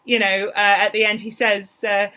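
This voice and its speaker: A woman speaking as a podcast guest, telling history informally in an animated, chatty, wry way. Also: mid-range voice, moderate pace, poor audio. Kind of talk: informal conversational storytelling about history.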